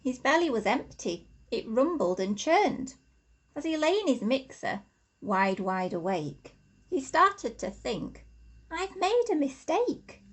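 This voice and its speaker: A woman, an infant school teacher, reading a rhyming storybook expressively to children with deliberate, sing-song emphasis. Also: fairly high pitch, sing-song, a bit bright, husky.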